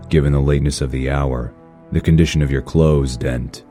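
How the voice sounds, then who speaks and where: An actor playing a grim, brooding masked vigilante in a comic-book audio drama, speaking tersely and solemnly with menace. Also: male voice, very deep voice, fairly even intonation, moderate pace, warm tone, soft background music. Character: grim brooding vigilante in a mask, adult man.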